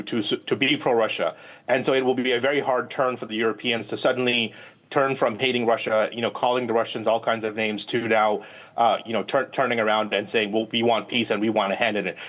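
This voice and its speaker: A man's voice: medium pitch, fairly bright tone. A man speaking as a guest political analyst in a live news interview, explaining at length and often repeating words as he phrases his points.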